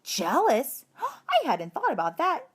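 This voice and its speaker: A woman's voice: high-pitched, huge pitch swings, takes it slowly, pitched above the performer's own voice, exaggerated voice. A woman reading a children's storybook, voicing a proud, eager little male hamster in a chatty, self-important way. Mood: surprised. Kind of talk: children's storybook read-aloud.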